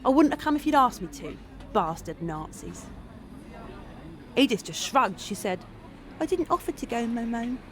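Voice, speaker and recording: A woman's voice: a little high, very animated, moderate pace, pauses often, faint music behind. An actress playing a coarse, suspicious, resentful woman in an audio drama, brash and sarcastic. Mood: sullen, bitter. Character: coarse, suspicious, resentful female companion with sharp tongue.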